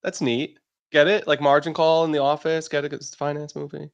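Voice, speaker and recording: A man's voice: on the high side, little pitch movement, brisk, creaky, lo-fi sound. A man co-hosting a markets show, chatty and teasing, laughing easily. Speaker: co-host. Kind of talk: casual chat.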